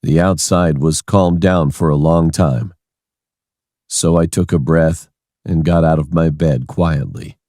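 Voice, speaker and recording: A man's voice: very deep voice, steady tone, slow pace, mellow, dry recording, studio quality. A man reading a scripted first-person horror story as its narrator.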